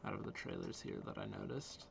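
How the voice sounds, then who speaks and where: A man's voice: fairly low pitch, wildly swooping pitch, brisk, gravelly, noisy background. A man giving easygoing, chatty reaction commentary as a video creator watching game trailers.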